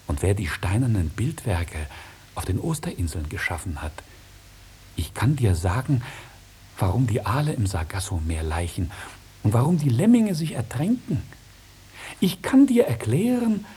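An actor playing a sly, mocking, melancholy old dragon who knows everything, declaiming theatrically in a 1950s German radio play. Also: male voice, mid-range voice, breathy edge, exaggerated voice. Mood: grandiose boasting. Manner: theatrical, declaiming, swooping from hushed to loud. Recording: hissy 1950s radio recording.

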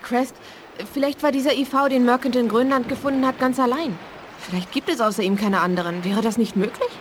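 A woman's voice: a little high, a little fast, a little hiss or hum, lightly acted. An actress playing an eager, hopeful young woman in a 1980s German radio play, speaking with earnest insistence. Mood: anxious hope. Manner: earnest, questioning.

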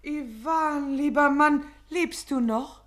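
Distraught wife in radio play, melodramatic, exclaiming, high sharp voice. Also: female voice, slow. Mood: astonished, tender. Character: excitable, distraught wife of a civil servant. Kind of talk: theatrical radio comedy acting.

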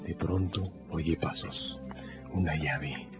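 A man narrating a scripted radio drama in a measured, grave storytelling manner. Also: a bass voice. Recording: dull radio recording with loud music. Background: loud music.

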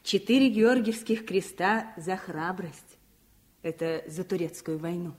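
Mother, played by an actress, radio drama: slightly low voice, proud and earnest. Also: unhurried, natural voice. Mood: proud, tender. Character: proud mother gently teaching her child about family. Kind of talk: Soviet radio play acting.

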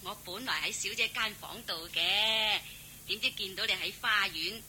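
A woman's voice: medium pitch, normal speed, pushed and bright. A woman with a Hong Kong Cantonese accent playing a bossy but kindly motherly maidservant in a radio drama, fussing and instructing. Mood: announcing news. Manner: scolding, instructing, fussy. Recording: hissy old radio drama recording.

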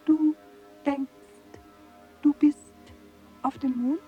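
An actress playing a solemn, menacing cosmic being in an audio drama, with deliberate, incantatory phrasing. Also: female voice, high voice, fairly even intonation, narrow, tinny audio, faint music behind. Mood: solemn, accusing.

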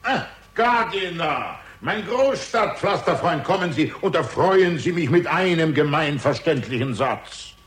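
A man's voice: on the high side, animated, unhurried, hardly any pauses, fairly bright tone. A man playing a swaggering, witty barfly in a German radio crime drama, with a pompous, theatrical, florid way of talking. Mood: jovial, grandiose. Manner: pompous, theatrical, mock-grandiose.

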